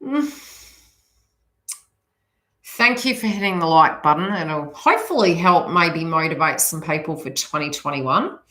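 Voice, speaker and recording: A woman's voice: low-pitched, animated, unhurried, long pauses, sharp tone. A woman with a slight New Zealand accent chatting casually and ramblingly to live viewers as she gives spiritual advice. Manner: rambling, conversational asides to viewers. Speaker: host, psychic reader chatting with live viewers. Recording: roomy, echoey sound.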